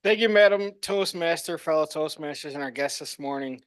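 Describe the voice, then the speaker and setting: Man, earnest prepared speech, measured delivery, dry close sound. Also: fairly high pitch, fairly bright tone, smooth, no room sound. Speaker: club member giving a prepared speech. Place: meeting room.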